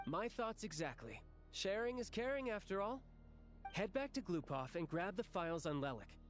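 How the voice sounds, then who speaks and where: A man's voice: high-pitched, very animated, normal speed, soft background music. A man playing a sly, genteel young official in a video game, speaking in a playful, articulate and theatrical way. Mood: playful, smug. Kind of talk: video game character acting.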